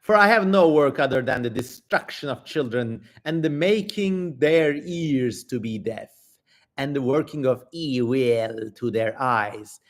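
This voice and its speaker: A man's voice: medium pitch, lively intonation, measured pace. A man voicing a fierce, defiant female demon spirit in a theatrical, haughty reading of an ancient text. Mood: menacing.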